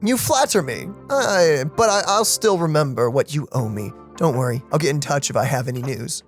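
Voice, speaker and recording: A woman's voice: deep voice, lively intonation, normal speed. A woman streaming a let's play, casually commenting and reading game dialogue aloud. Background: game music.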